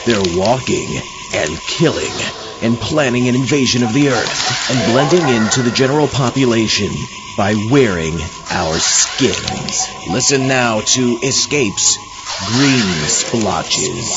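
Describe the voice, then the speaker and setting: A man of medium pitch hosts with hammy, scripted horror-comedy flair, stretching words for emphasis. His voice is a little muffled. Loud ambient noise runs behind it. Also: lively intonation, slow pace. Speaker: show host. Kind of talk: scripted, theatrical comic horror hosting.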